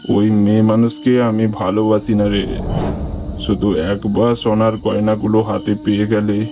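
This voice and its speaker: A man playing a greedy, scheming young village lover in an animated horror story. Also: on the low side, a little slow, music in the background, natural voice. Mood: smug, scheming.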